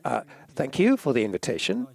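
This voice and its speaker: A man speaking as a press freedom advocate at a conference panel, hesitant, with many fillers and throat clearing. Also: medium pitch, huge pitch swings, a little fast, a little mellow.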